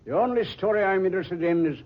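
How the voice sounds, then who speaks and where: A man's voice: sits high, quick pace, close to an everyday voice. An actor playing a tough, suspicious street policeman, blunt, bossy and sarcastic, with slangy talk in old radio drama style. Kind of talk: 1940s radio drama acting. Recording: dull old radio recording.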